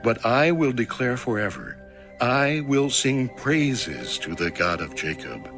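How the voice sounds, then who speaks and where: A man reading scripture aloud in a solemn, dramatic, measured audiobook style. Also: mid-range voice, big pitch swings, a bit bright, soft background music. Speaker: scripture reader.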